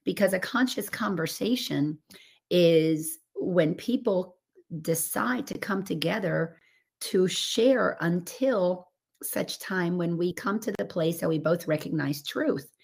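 A woman coach whose voice is raspy after laryngitis, talking earnestly and expansively over a remote call. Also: lowish voice, slow pace, a little mellow. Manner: earnest and expansive, building on images. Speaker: coach and guest. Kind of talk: casual spiritual podcast chat.

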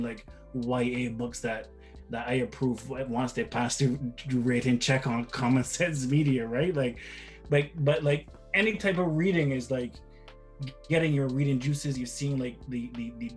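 A middle-aged man from Barbados, a guest father chatting casually in an interview, rambling with frequent restarts and repeated words. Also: mid-range voice.

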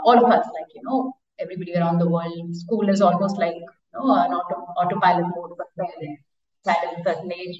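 A woman's voice: on the low side, a little mellow. A woman in her thirties from India, with an Indian accent, telling her story conversationally as an interview guest, rambling with many "like" and "you know" asides. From eastern India. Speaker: interview guest, education startup founder. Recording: echoey, distant, muffled call-like audio.

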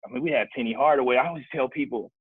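A man's voice: medium pitch, rapid-fire, husky, no echo. A man from Kansas City, Missouri, casually telling his life story as a guest, with many restarts. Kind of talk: casual podcast chat. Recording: dry, tinny phone-like sound.

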